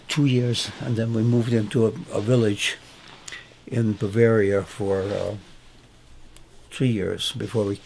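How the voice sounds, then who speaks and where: An older man in his eighties, originally from Hungary, tells his story slowly and haltingly, stopping often to find his words. His voice is mellow and darker in tone. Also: on the low side, gravelly, slight background noise, low-quality recording. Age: 80s. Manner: halting, many pauses and fillers. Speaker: interviewee grandfather. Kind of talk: casual recollection.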